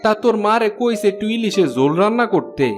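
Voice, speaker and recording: Ailing cartoon village dad, loving and downhearted, high male voice, Bangladeshi accent, faint music underneath. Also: expressive, quick pace. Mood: hopeful. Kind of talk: Bengali fairy tale cartoon dubbing.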